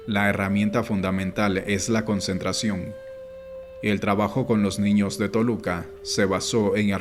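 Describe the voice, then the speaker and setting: A man reading an audiobook as scripted narration, in a measured, instructive way. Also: lowish voice. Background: background music.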